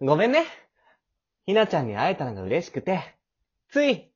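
A woman playing a sweet, clingy, sensitive teenage boy in an amateur audio drama, with a breathy, tender, coaxing delivery. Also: fairly low pitch, wildly swooping pitch, normal speed, a little mellow, exaggerated voice. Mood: happy, affectionate. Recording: dull, lo-fi home recording.

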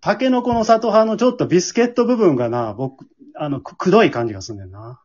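Animated Kansai man hosting, slightly muffled. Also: high voice, fast. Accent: Kansai Japanese. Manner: polite, playful, often laughing. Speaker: host and amateur drama troupe leader. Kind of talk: casual radio show hosting.

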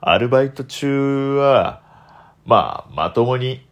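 A young man hosting a solo radio talk in a deliberately put-on deep, velvety voice, playful and self-mocking. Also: lively intonation, highs cut off.

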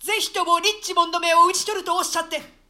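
A woman's voice: very high voice, steady tone, rapid-fire, bright, forceful tone, heavily acted. A woman playing an anxious, loyal male retainer in a classical play, urgent and pleading. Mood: urgent, alarmed. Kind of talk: classical stage-play reading in archaic Japanese.

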